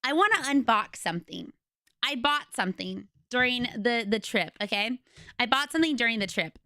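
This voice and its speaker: A young woman streamer with a raspy voice, chatting casually and rambling to her viewers from home. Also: a little high, lively intonation, moderate pace, bright, forceful tone, no room sound, studio quality. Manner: chatty, rambling, retells conversations.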